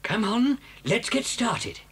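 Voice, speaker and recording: Husky, expressive father fox. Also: very high voice, slow pace, heavily acted. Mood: determined, tender. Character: gentle, clever father fox, hopeful yet cautious. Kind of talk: children's audio story dramatisation.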